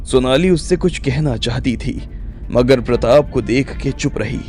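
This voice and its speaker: A man's voice: medium pitch, measured pace, a little mellow. A man narrating a scripted audio drama story as its storyteller. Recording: music bed under the voice.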